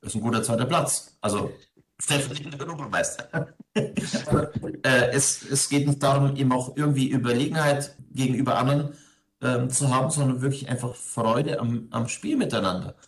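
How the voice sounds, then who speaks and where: A man's voice: medium pitch, normal speed. A man from Ingolstadt in Germany, a parish priest, answering interview questions in a reflective, conversational way with frequent hesitations. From grew up in Ingolstadt, Germany.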